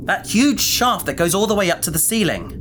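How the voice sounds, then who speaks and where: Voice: mid-range voice, big pitch swings, fairly quick, background noise, lightly acted. An actor playing a caring, practical space adventurer in a sci-fi audio drama, with an earnest, reasoning way of talking. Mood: awed, uneasy.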